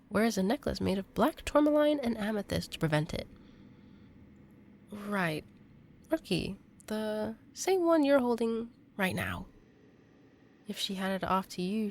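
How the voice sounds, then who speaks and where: An actress playing an earnest young female exorcist in an audio drama, halting and emotional. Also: mid-range voice, sing-song, darkish tone, a little hiss or hum. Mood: explaining. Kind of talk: intimate audio drama acting.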